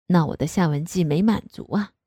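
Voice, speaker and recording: An indulgent, coaxing middle-aged father speaks in a lowered, husky voice with a dark, mellow tone. A woman acts him out in a storybook reading, recorded close and dry. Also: fairly quick, dry recording.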